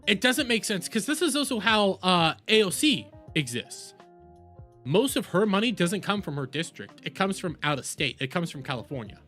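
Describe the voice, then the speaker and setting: A man giving a casual, exasperated political rant as a streamer, halting and repeating words, sometimes swearing. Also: sits high, sing-song, bright, forceful tone, faint music behind. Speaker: streamer, host.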